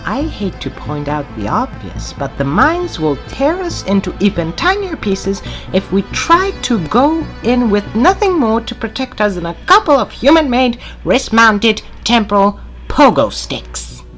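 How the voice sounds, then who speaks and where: An actress performs an arch female renegade time-traveller from a sci-fi audio drama. She is sly and sardonic, drawing out her words slowly with mocking, theatrical swoops in pitch. Faint music sits behind her. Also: sing-song, a bit bright. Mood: dry scepticism.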